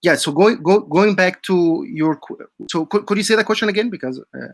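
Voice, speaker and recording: A man's voice: sits high, brisk, dry recording. A young man from Egypt, a podcast guest giving long, earnest answers that lay things out step by step.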